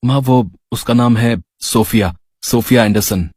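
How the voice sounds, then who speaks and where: A man's voice: mid-range voice, unhurried, a little mellow, husky, dry recording, clean studio sound. A man voicing an earnest young adult son in a cartoon story, hesitant and pleading with his parents. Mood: shy, nervous.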